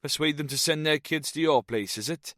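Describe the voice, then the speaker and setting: A jovial, leering Welsh teacher in his fifties, as a male narrator puts him on, with a lilting, slow Welsh accent pitched well above the narrator's own voice. He sounds lively and loud, like a man a few pints in. The sound is close and dry. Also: no room sound, lightly acted.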